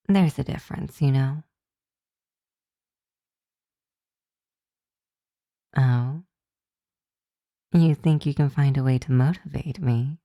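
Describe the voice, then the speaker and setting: Woman doing a teasing vampire college girl, asmr roleplay, mellow slow voice, very dry close sound, playful. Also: deep voice, no room sound. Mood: playful, defensive. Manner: playful, teasing, drawn-out delivery with breathy asides.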